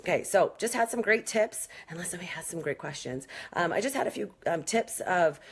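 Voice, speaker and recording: Lady fitness coach in her 30s, lowish voice, chatty and blunt, lots of ums, phone held at arm's length. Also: lively intonation, brisk, breathy edge. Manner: chatty, frank, many fillers. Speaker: fitness trainer. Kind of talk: casual live Q&A. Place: small indoor room. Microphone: phone camera at arm's length.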